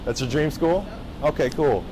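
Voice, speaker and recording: A young man from Japan tells his story to a room of high school students in a loose, engaging way, often checking that they are with him. His voice sits a little high. Faint background noise runs under the talk. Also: normal speed, warm tone, poor audio. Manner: casual, engaging, checks in with the audience. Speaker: motivational speaker. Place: high school gathering space.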